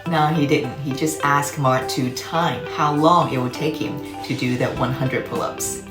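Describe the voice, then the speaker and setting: Low-pitched woman reading aloud in echoey room. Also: fairly even intonation, measured pace. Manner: explanatory, asks the listener questions. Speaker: children's read-aloud host and teacher. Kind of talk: storybook read-aloud and lesson narration. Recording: roomy echo, faint music behind.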